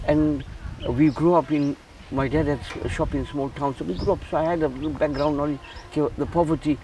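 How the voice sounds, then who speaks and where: A man's voice: a little high, steady tone, fairly quick, mellow, recorded from a distance, slight background noise, rough recording. A man from Zimbabwe who runs a charity, talking earnestly in a casual interview and often repeating words as he restarts. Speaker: charity founder.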